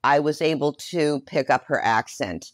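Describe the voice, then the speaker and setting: A woman's voice: low-pitched, normal speed, no echo, clean studio sound. A mature woman giving a casual interview as a guest, effusive and reflective about her life and work. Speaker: guest, writer, director and voice performer.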